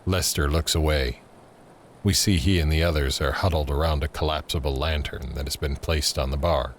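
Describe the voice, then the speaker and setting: A man's voice: a bass voice, fairly even intonation, normal speed. A man reading scripted, screenplay-like narration for an audio drama. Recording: faint ambient noise under narration.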